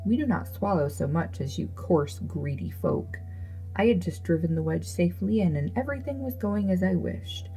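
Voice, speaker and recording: A woman's voice: gravelly, dark tone, poor audio. A woman voicing an ill-tempered, ungrateful little dwarf man, snarling and scolding in a storybook reading. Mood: scornful. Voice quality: shrill. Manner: snarling, scolding, grumbling insults. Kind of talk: fairy tale storybook reading. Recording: music behind the voice.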